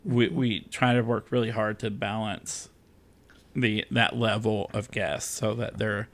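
A man's voice: lowish voice, steady tone, measured pace, fairly bright tone. A man from the American South, a podcast guest talking candidly and with self-deprecating humor, using many fillers. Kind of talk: casual interview chat.

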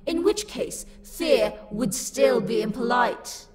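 Woman acting a high-pitched, livid, haughty demoness. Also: lively intonation, slow pace. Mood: haughty, menacing. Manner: indignant, imperious, loud outbursts. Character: livid, haughty female demon, sassy and easily insulted. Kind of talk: dramatic roleplay monologue. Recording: long reverb, music behind the voice. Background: music.

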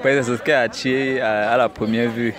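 A man's voice: mid-range voice, fairly even intonation, fairly quick. A young man giving short, laid-back answers in a street interview. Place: outdoors on a busy street. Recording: busy crowd chatter behind.

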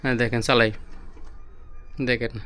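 A man's voice: medium pitch, little pitch movement, normal speed, fairly bright tone, clear, resonant tone. A man with a Bangladeshi accent giving a casual, repetitive product demonstration as a seller showing electronics to viewers.

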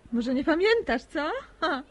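A woman's voice: sits high, expressive, measured pace. An actress playing a proud young village woman in a radio drama, coy and tart in colloquial rural speech. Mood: tart, wounded pride. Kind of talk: naturalistic Polish radio drama acting. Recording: radio drama with faint background noise.

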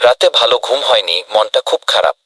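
A man voicing a talkative, friendly but anxious rabbit with a husky voice in a children's cartoon. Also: medium pitch, lively intonation, quick pace, bright, forceful tone, no room sound. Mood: downcast. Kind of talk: dubbed children's cartoon dialogue.

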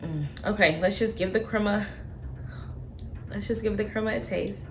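A young woman vlogging from her home kitchen, talking through a coffee tasting in a relaxed way with plenty of fillers. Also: mid-range voice, normal speed, slight background noise. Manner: relaxed, thinking aloud with many fillers. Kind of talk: casual tasting commentary.

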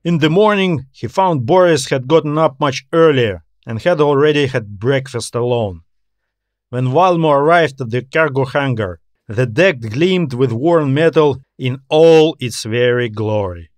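A man's voice: medium pitch, lively intonation, a little slow, no room sound. Male sci-fi audiobook narrator, dry close sound. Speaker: author narrating his own story. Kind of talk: scripted audiobook narration of science fiction.

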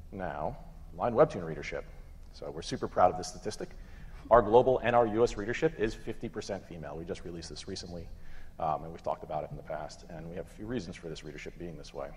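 A man in his thirties presenting and moderating a conference panel in an informal, halting way. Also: low voice, darkish tone. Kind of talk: conference presentation, semi-casual. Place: conference room stage with audience. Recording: echoey hall PA, clear background noise.

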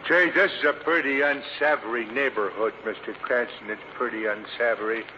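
An actor playing a nervous working-class cab driver in an old radio drama, folksy and repeating himself. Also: male voice, mid-range voice, steady tone, normal speed, close to an everyday voice. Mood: uneasy. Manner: folksy, repeats his own phrases. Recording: dull, noisy old radio recording.

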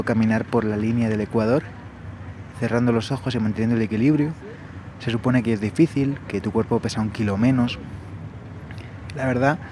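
A young man from Spain with a Barcelona Spanish accent, telling his travel diary in a calm, thoughtful and personal way. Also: medium pitch, background noise. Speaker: travel vlogger. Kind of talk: reflective travel diary narration. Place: small bedroom in a hostel.

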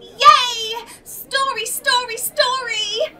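A woman's voice: extremely high pitch, fairly even intonation, extremely slow, sharp tone, exaggerated voice. A woman voicing a spoilt, demanding little girl, whiny and petulant, in an audio drama. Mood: gleeful.

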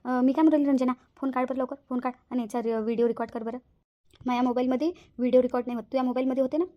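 A woman's voice: high voice, flat delivery, rapid-fire, dull audio, lightly acted. A woman voicing a bossy, nosy village woman who plays detective in a cartoon, urgent and commanding, with a Varhadi Marathi accent. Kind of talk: cartoon character dialogue.

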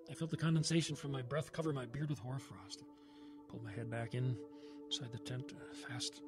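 Music plays behind a haunted male survivor of the wilderness , played by an actor. He recounts his terror in a mid-pitched voice, halting and then swelling dramatically, in the manner of an audio horror drama. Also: close to an everyday voice.

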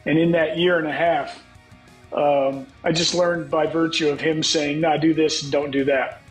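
A middle-aged songwriter from the United States with a scratchy voice, speaking frankly as a guest on a video call. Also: male voice, fairly high pitch, level tone, moderate pace, faint music behind. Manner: frank, reflective, swears casually. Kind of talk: candid interview talk. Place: home room, on a video call. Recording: echoey video call audio.

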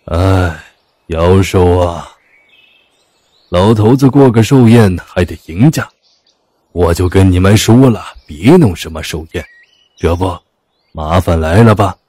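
A man's voice: low-pitched, measured pace, long pauses, dark tone, dry recording. A man acting in an audio drama as an ancient immortal master, an old grumbler who frets and sighs. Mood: grumbling, exasperated. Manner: sighing, grumbling.

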